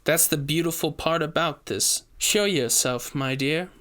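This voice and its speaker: Soft, sweet, menacing coven woman played by a man. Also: a little high, measured pace. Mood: smug, gloating. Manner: gloating, silky. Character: sly, menacing high-society woman leading a witch coven.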